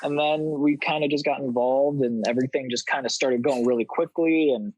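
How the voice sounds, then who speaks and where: A man's voice: mid-range voice, steady tone, fairly quick, a little mellow, dry recording, low-quality recording. A twenty-year-old man telling an anecdote in a casual, self-deprecating way as a podcast guest. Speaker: podcast guest, audio drama writer.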